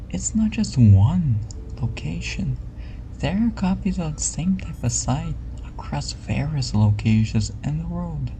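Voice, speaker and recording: A woman playing a calm, quietly eerie scientist in a spooky ASMR roleplay, soft and breathy, close to a whisper, with a deliberate hushed delivery. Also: a bass voice, a little hiss or hum, heavily acted, poor audio. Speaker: researcher giving a briefing.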